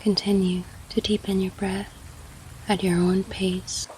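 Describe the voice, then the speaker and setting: Woman doing sleep meditation, really slow n soft, half whispering, barely moves in pitch, light rain behind. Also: flat delivery, a little hiss or hum, low-quality recording. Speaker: guided sleep meditation host. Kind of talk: guided sleep meditation narration. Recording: faint rain sound behind.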